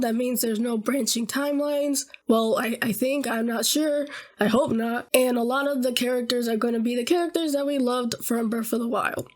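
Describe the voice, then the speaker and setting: A woman talking casually to her webcam, bubbly and excitable, her voice slightly hoarse after being ill. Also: high-pitched. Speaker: gaming YouTuber.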